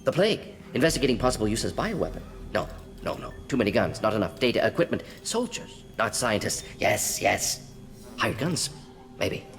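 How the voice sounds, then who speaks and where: A man's voice: fairly low pitch, very animated, vocal fry, soft background music. A clipped, twitchy alien scientist. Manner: clipped rapid fragments, drops articles. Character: fast-talking male alien scientist, twitchy. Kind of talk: video game voice acting.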